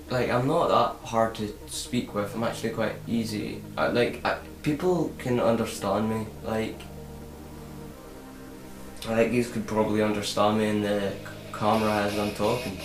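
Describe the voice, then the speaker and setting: A teenage boy talking to camera in a casual vlog, halting and repeating himself with many fillers. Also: lowish voice, steady tone. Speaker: vlogger. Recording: roomy echo with electronic music behind.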